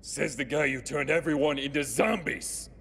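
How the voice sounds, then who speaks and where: A man playing a brash, suspicious young sidekick in a video game, raspy and sarcastically indignant. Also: on the high side, moderate pace, fairly bright tone, a little hiss or hum. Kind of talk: scripted video game acting.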